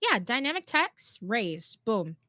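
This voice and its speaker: A woman's voice: a little high, big pitch swings, takes it slowly, bright, forceful tone, no room sound, studio quality. A woman co-hosting a live stream tutorial, upbeat and affirming as she walks viewers through each step, her voice a little worn. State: slightly losing her voice. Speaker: co-host, platform guide.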